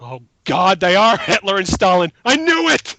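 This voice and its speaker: A man's voice: sits high, very animated, normal speed, pushed and bright, a little hiss or hum. A man giving casual critical commentary, sarcastic and incredulous. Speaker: panelist in a group mocking a written bio.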